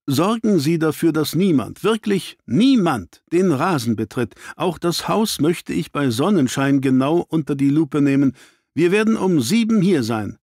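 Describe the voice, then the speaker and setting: Audiobook detective, acted by a male reader: brusque, commanding, angry at himself, pitched up, dry studio sound. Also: big pitch swings, a little slow, a little mellow, dry recording, heavily acted. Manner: clipped, brusque, authoritative. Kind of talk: dramatised audiobook reading.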